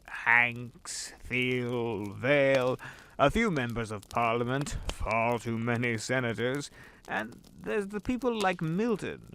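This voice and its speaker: A man's voice: medium pitch, a bit bright, clean tone. A man playing an urbane, sly old devil in an audio drama, wordy and courteous, savoring his phrases. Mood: genial, sly. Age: older.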